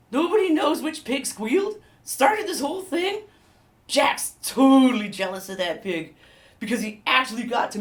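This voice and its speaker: A woman's voice: on the high side, recorded from a distance. A woman playing an aggrieved, gossipy anonymous actor who insinuates about colleagues in a comedy mock interview. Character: anonymous gossipy insider wolf-role actor, snippy and aggrieved.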